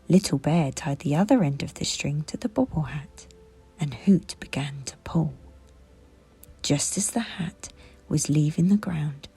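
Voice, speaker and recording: Female storybook reader, low-pitched and very breathy, gentle bedtime read-aloud, music underneath. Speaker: children's book reader. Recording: music behind the voice.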